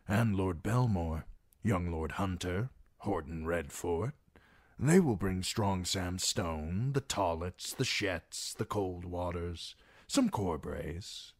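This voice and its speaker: A man voicing a sly, courteous lord in a fantasy audiobook, speaking in a mild, measured, apologetic way. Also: sits low, fairly bright tone, no echo, clean studio sound, full character voice. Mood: calm, wry. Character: smooth, soft-spoken, sly, courteous lord.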